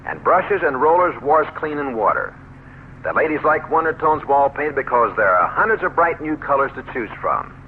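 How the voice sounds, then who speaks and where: Swooping American radio pitchman, tinny sound. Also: very animated, moderate pace. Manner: chatty, folksy sales patter. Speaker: commercial pitchman. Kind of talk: folksy scripted radio advertisement. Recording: narrow, noisy 1970s radio recording.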